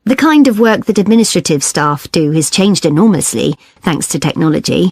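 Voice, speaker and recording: A woman's voice: on the low side, lively intonation, fairly quick, darkish tone, slightly rough, dry recording. A keen student girl chatting about coursework, agreeable, very up and down voice, dry sound. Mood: engaged. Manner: engaged, agreeable. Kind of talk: scripted study discussion.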